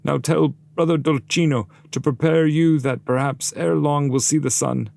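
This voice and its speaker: Mutilated damned spirit, male reader, epic poem: commanding, declamatory, pitched well above natural speech. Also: fairly even intonation, moderate pace, gravelly, darkish tone. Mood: urgent, commanding. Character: mutilated damned soul, pompous, warning and commanding. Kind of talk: dramatic epic poetry reading.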